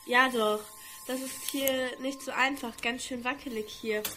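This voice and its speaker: A young woman playing a curious, quick-witted schoolgirl, inquisitive and emphatic, in an amateur radio play. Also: sits high, fairly even intonation, background noise. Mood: flustered.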